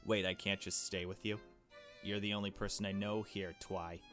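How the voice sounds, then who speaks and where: A man's voice: lowish voice, level tone, moderate pace, a bit bright, faint music behind, natural voice. A man voicing an awkward, easily embarrassed young visual novel protagonist, hesitant and self-conscious. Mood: pleading.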